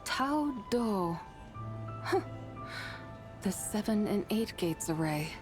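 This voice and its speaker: A woman's voice: medium pitch, very animated, slow. A woman voicing a proud ancient immortal in a video game, speaking formally and grandly. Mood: lofty, pensive. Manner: formal, archaic, pompous declarations. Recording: game dialogue over background music.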